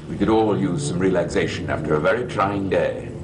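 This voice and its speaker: A middle-aged actor playing a suave, sly gentleman in a gothic soap opera, urbane, wry and formal. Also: deep voice, fairly quick, lightly acted. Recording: old TV soundtrack, faint noise.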